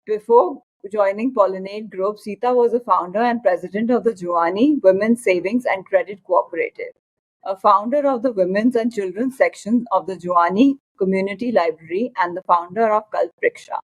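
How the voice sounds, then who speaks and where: A woman's voice: mid-range voice, normal speed, rough recording. A woman hosting a speaker series, reading a formal introduction and asking interview questions. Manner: formal, reads from a prepared script. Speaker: interviewer and host.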